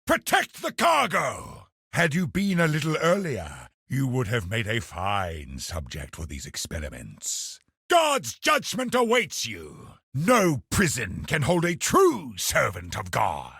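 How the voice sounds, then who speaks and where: Give this game boss a raspy, forceful delivery with huge swings in pitch. He is a zealous crusader commander, imperious and cruel. Each line is declaimed slowly and with menace. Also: huge pitch swings, no room sound, clean studio sound, full character voice. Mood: fierce, commanding, then cold menace. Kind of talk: theatrical video game boss barks.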